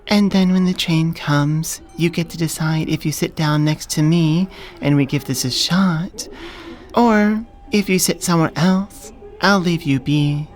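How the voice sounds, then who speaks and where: A woman playing a smitten, businesslike elf manager in a soft-spoken ASMR roleplay, earnest and deliberate. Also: sits low. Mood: earnest, reassuring. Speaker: voice actor.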